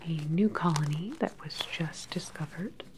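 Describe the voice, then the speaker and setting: A woman in her thirties playing a calm, sinister scientist in an ASMR sci-fi roleplay, whispering softly, close to the mic, with a clinical, condescending manner. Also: sits low, background noise, natural voice. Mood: clinical, controlled. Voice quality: soft, breathy whisper. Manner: unhurried, clinical, quietly condescending. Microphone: close microphone, seated facing the camera.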